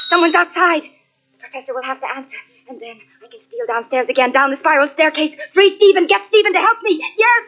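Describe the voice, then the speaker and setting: A woman's voice: extremely high pitch, sharp tone. An actress playing a terrified mute young woman whose racing thoughts are spoken in breathless, clipped, repeated phrases in a radio suspense drama. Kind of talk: old-time radio suspense drama acting. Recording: muffled old radio tape, faint music behind.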